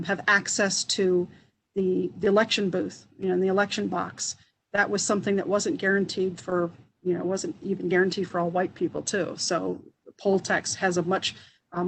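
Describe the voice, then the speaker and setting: A woman historian speaking on an online panel, thoughtful and halting with many restarts. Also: mid-range voice, a little hiss or hum, low-quality recording. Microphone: video call.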